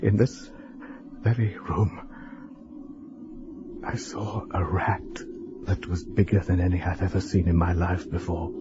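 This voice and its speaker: An actor playing a frightened, educated man in a radio horror drama, speaking formally and haltingly as he writes a letter. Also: low-pitched, fairly even intonation. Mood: oppressed, nervous. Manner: formal, measured, halting delivery with weighted pauses. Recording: dull, lo-fi, noisy radio drama recording.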